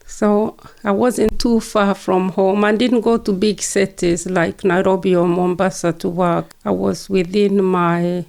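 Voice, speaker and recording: Older Kenyan woman with a creaky voice. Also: mid-range voice, little pitch movement, a little slow, hardly any pauses, a little mellow. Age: 70s. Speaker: interview guest, retired nurse and midwife. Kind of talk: conversational oral-history interview, recollecting.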